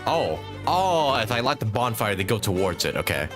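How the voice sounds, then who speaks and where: Low-voiced, animated man live-streaming a game. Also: normal speed, over music. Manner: thinks aloud, swears casually. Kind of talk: casual live gameplay commentary.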